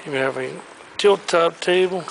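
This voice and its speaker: A man's voice: fairly high pitch, normal speed, gravelly, background noise. Upbeat man touring an auction floor. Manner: upbeat, enthusiastic, exclaiming over items. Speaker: auctioneer giving a preview walkthrough. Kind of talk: walking preview tour of sale items. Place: large indoor auction warehouse. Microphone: handheld camera he carries as he walks.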